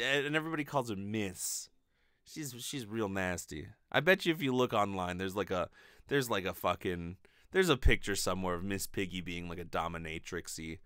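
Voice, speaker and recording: A lively man in his twenties with a bright, higher-set voice rambles through a comedic monologue. He swears freely and keeps it casual, with his pitch swinging around as he talks into a close, dry mic. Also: clean tone, no echo. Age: late 20s. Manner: profane, rambling, animated. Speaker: podcast host. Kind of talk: casual comedic monologue, answering listener mail. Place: small bedroom, indoors. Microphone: close condenser microphone on desk with pop filter.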